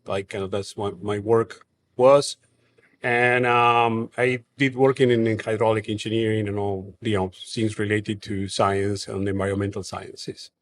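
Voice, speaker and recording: A man's voice: fairly low pitch, level tone. A man from Argentina with an Argentine Spanish accent, a brewery co-founder talking casually in an interview, with frequent fillers and restarts. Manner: halting, many fillers and restarts.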